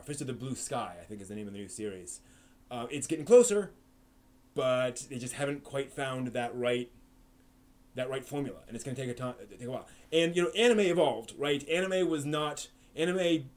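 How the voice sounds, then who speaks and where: A man hosting a live stream, chatting casually and answering viewer questions, with lots of fillers and restarts. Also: mid-range voice. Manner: rambling, frequent fillers and restarts.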